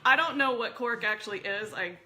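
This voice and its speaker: Bright, chatty woman hosting a wine chat. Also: on the high side, a little fast. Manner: chatty, laughing often, rambling asides. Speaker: show host. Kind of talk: casual chat about wine.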